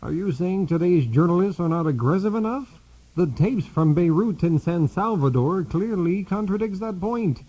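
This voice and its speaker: An actor playing a self-important, earnest network news anchor in a satirical radio play, with formal, insistent questioning. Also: male voice, high-pitched, animated, normal speed, mellow, low-quality recording. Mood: earnest, probing. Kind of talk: satirical radio theater, mock news interview.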